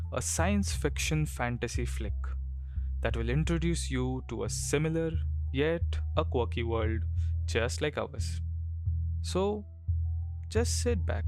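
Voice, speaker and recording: A man narrating a scripted audiobook story with deliberate, theatrical phrasing. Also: medium pitch, a little mellow. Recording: background music under voice. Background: music.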